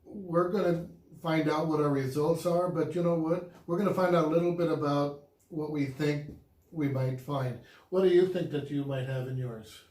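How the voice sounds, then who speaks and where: A man's voice: fairly high pitch, fairly even intonation, normal speed, darkish tone. A man co-hosting a casual couple's vlog, talking in an upbeat, chatty way and laughing easily. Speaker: vlog co-host. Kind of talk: casual chat. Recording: echoey room.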